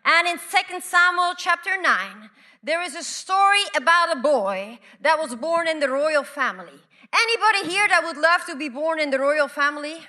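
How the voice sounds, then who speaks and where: A woman from Belgium preaching a sermon, repeating phrases and swinging from loud declarations to hushed whispers. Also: sits high, lively intonation. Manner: slow, deliberate delivery with repeated phrases.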